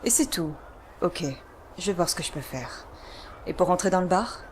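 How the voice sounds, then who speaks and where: A woman's voice: lowish voice, animated, normal speed, close to an everyday voice. A woman acting a cool, menacing female adventurer in a video game fan dub, with an assertive, dryly threatening manner. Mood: probing, dry. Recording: faint background noise.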